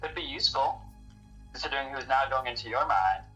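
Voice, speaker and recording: Woman, very deep pitch, bright forward tone, dry, challenging, music faintly behind. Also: lively intonation, a little fast, dull audio. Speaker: podcast panelist in character as a researcher. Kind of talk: semi-improvised in-character discussion of a horror audio drama.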